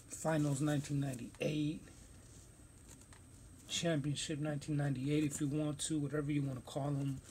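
A man's voice: fairly high pitch, dark tone, slight background noise. A man hosting a casual sneaker unboxing vlog, talking in a loose, rambling way with plenty of slang, recorded on a phone camera in a room stacked with shoe boxes. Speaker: sneaker reviewer.

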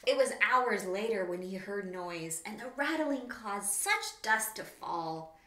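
A woman's voice: medium pitch, expressive, slow, sharp tone. Woman reading a storybook, roomy echo. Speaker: children's author reading her book. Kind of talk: expressive children's storybook read-aloud. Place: small plain room indoors. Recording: roomy, echoing indoor room.

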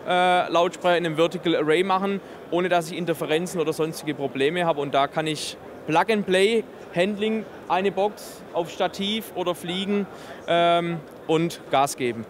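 A man in his thirties with a noticeably high voice presents a product as an exhibitor. He explains technical details with enthusiasm, speaking into a handheld interview microphone. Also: normal speed. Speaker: exhibitor presenting a loudspeaker system. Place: busy trade fair booth in a large hall. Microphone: handheld interview microphone held by interviewer.

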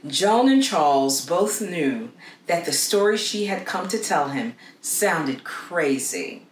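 A middle-aged woman reading a story aloud for children in an expressive, deliberate way with dramatic pauses. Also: on the low side. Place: small indoor room against a plain wall. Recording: roomy echo with faint background noise.

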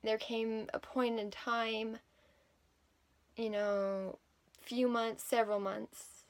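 A woman in her thirties telling a personal story in a casual, unscripted way, halting and often correcting herself. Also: a little high, little pitch movement. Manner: halting, with many self-corrections. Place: small plain room indoors at home. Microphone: phone or laptop at arm's length.

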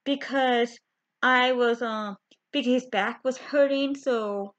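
A young woman chatting to her webcam from a small bedroom, halting, with many fillers and restarts. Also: fairly high pitch. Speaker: vlogger. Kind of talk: casual chat to camera. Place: small bedroom with window blinds. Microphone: webcam at close range. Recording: dull lo-fi webcam audio.

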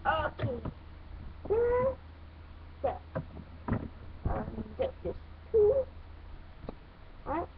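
A woman giving halting, step-by-step instructions in a hands-on toy building tutorial. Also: extremely high pitch, sing-song. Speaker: toy review host. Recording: muffled phone-like audio, clear background noise.

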